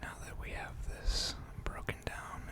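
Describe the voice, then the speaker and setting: A person in an ASMR roleplay voicing a gentle, attentive mechanic who tends robots, speaking formally and deliberately in a soft, breathy whisper. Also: natural voice. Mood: calm, methodical. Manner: slow, deliberate, soothing, formal.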